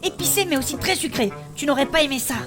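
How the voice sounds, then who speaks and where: A woman voicing a composed, well-travelled adventurer in a fantasy comedy audio drama, informative and gently teasing. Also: high-pitched, fast talker, close to an everyday voice. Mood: recounting. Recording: music behind the voice.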